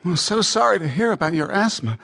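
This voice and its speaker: High-voiced, animated, witty male poet. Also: a little fast, gravelly, exaggerated voice. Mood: sympathetic. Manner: chatty, wry, literary. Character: witty, admiring male American poet writing to a friend. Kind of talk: radio theatre, reading letters aloud.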